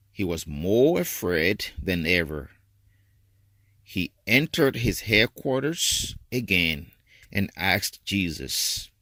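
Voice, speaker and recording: Male reader for solemn scripture, slow and expressive, close dry sound. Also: fairly low pitch, no room sound. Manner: deliberate, expressive phrasing. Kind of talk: solemn dramatic scripture reading at a church service.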